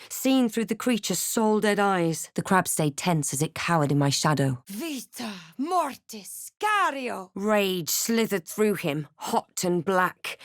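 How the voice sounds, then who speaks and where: Wary fantasy adventurer heroine, very dry recording. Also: female voice, fairly low pitch, no room sound. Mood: forceful incantation. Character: snarky, wary female adventurer hero of a fantasy game. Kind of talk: fantasy video game lines, short remarks and narrated thoughts.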